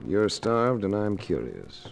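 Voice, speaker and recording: An American actor playing a suave, tough private investigator in a dry, clipped 1950s radio detective style. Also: male voice, fairly low pitch, huge pitch swings, takes it slowly, vocal fry, soft background music, full character voice. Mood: probing, firm. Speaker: lead actor and first-person narrator. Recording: noisy vintage radio recording.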